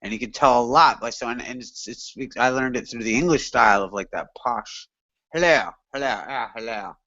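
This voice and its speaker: A man's voice: medium pitch, brisk, pushed and bright, no echo, muffled recording. A man chatting casually as a panelist in an online hangout, rambling and profane, with lots of fillers. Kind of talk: casual chat.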